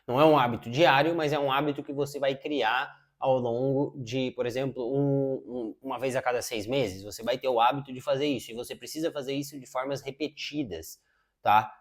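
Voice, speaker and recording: Casual man in his thirties. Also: medium pitch. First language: Portuguese. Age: 30s. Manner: informal, says "cara" a lot, many filler "é" pauses. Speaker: self-improvement YouTuber. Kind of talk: casual advice talk. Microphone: wireless lapel mic.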